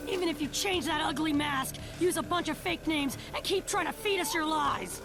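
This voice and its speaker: A woman's voice: high-pitched, moderate pace. A woman voicing a hot-headed teenage boy ninja in an anime video game, with a scrappy boyish voice and a defiant manner. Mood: angry, defiant. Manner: defiant, shouted taunts. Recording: loud music over game dialogue.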